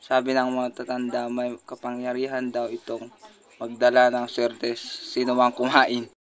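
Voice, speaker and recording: A man voicing a friendly monitor lizard in a puppet show, with a flat, recited delivery. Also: medium pitch, unhurried, lightly acted.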